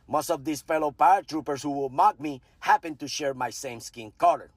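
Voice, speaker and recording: A man in his fifties with a Puerto Rican Spanish accent tells his own story in a blunt, cursing style, dropping Spanish asides along the way. His voice sits somewhat high for a man. The sound is dry, with no room echo. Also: a little fast. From Puerto Rico. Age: 50s. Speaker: veteran storyteller. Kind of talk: personal storytelling monologue.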